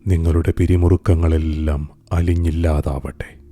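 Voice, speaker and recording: A man guiding a scripted meditation in a calm, soothing, gentle manner, pausing deliberately between phrases. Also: very deep voice. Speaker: meditation guide. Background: music.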